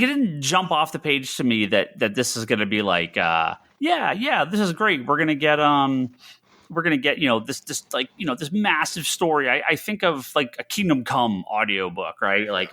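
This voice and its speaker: A man co-hosting a casual comics podcast, talking haltingly, repeating words and acting out his own reactions. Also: mid-range voice, big pitch swings, sharp tone. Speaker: podcast co-host.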